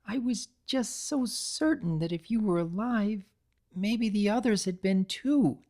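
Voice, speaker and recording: A woman voicing an excitable, tender-hearted young female cartoon pony baker, effusive, earnest and girlish. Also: mid-range voice, measured pace, pitched above the performer's own voice, close to an everyday voice. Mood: remorseful, pleading.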